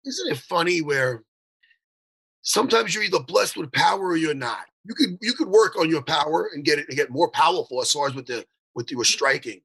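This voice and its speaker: A man's voice: fairly high pitch, lively intonation, fairly quick, gravelly, a bit bright, breathy edge, rough recording. A man hosting an interview, talking in an enthusiastic, rambling, casual way. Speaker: interview host.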